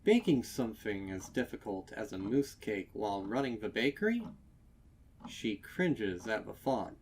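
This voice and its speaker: A man narrating scripted fiction in a dramatic, measured storytelling manner with deliberate phrasing. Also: fairly low pitch, a little mellow, a little hiss or hum.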